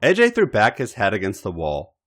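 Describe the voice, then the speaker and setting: Male podcast host and story reader. Low voice, extremely lively intonation, theatrical delivery of scripted erotica. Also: fairly quick, slight background noise. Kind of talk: dramatic scripted narration of erotic fiction.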